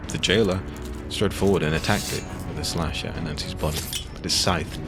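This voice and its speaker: Very deep-voiced man narrating over music. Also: fairly even intonation, fairly quick. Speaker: audio fiction narrator. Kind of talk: dramatic first-person scripted story narration.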